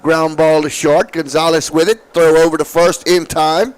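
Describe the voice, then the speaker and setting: A man calling softball play-by-play, his voice slightly raspy from allergies, with calm, measured calls. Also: a little high. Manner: calm, measured calls, excited on big hits. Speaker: play-by-play announcer.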